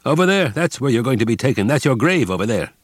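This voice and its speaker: A man's voice: mid-range voice, very animated, fast, no room sound, clean studio sound. A man voicing a brutal male camp prisoner who screams with hysterical fury at new arrivals. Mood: furious.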